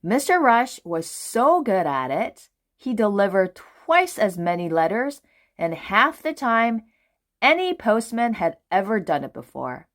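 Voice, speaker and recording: A woman narrates a picture book for children with dramatic, deliberate phrasing. Her pace is slow and her intonation swings widely. The voice is medium in pitch. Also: big pitch swings. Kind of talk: expressive storybook narration for children.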